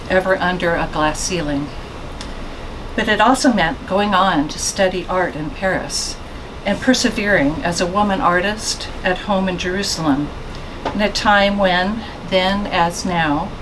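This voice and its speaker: A woman with a soft voice reading a formal introduction of a speaker at a bookstore event. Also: lowish voice, background noise.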